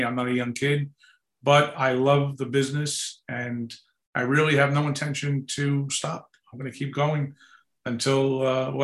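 Man in his sixties, New York accent, rambling easygoing talk, mid-pitched, echoey room. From New York City. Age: 60s. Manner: rambling, relaxed, many fillers and restarts. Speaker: guest, company founder. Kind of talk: casual interview chat. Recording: roomy echoey sound.

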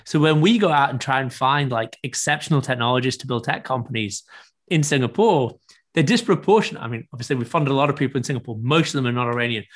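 A man's voice: medium pitch, quick pace. Man explaining ideas in an interview. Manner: thoughtful, fluent explainer with fillers. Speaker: guest, startup investor. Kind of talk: casual interview.

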